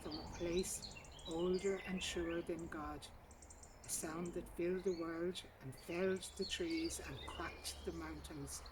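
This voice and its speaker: Female story reader, lower register, measured and sombre; garden recording with prominent birdsong. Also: steady tone, noisy background. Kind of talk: literary story reading. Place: outdoors in a garden. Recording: outdoor garden recording, distant mic, birdsong.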